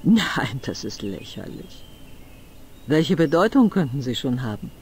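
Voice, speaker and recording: A man playing a proud, stern elderly aristocrat in an adventure game, speaking in a formal, haughty, measured way. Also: mid-range voice, sing-song, a little hiss or hum. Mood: dismissive, astonished. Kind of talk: adventure game voice acting, German dub.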